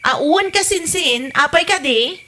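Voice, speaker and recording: A woman playing a practical, bossy young wife in an audio comedy-drama, brisk and nagging. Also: very high-pitched, animated, sharp tone, heavily acted. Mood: concerned, brisk.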